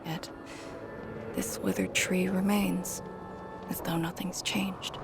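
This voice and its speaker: An actress playing a solemn, battle-worn woman commander in a video game, speaking in a grave, measured, formal way. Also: on the low side, steady tone, in a whisper. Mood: somber, wistful. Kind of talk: dramatic video game story dialogue. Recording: game music behind the voice.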